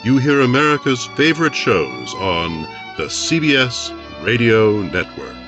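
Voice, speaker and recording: A man's voice: mid-range voice, very animated, unhurried, over music. A man playing a formal 1950s network radio announcer, reading promotional copy and credits theatrically. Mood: grand, promotional.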